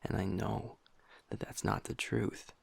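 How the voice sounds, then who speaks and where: Brief: man playing a young werewolf beta, deep and very gravelly, breathy near-whisper, cursing, hard on himself. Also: little pitch movement, unhurried, dry recording, exaggerated voice. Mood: frustrated. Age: young adult. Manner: soft, hushed, swearing, self-critical. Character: troubled young werewolf man, pack's second in command. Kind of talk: intimate audio roleplay.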